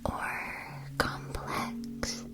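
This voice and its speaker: A woman playing a gentle, attentive witch school shopkeeper in an ASMR roleplay, speaking in a soft, breathy whisper with careful, polite phrasing. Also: drawn-out words, over music. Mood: gentle, informative.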